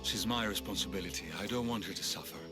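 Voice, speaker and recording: Actor as an earnest, troubled healer, music behind. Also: male voice, on the low side, fast talker, lightly acted. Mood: grave, resolute. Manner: earnest, intense. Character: earnest, troubled young healer seeking justice. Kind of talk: dramatic video game cutscene acting.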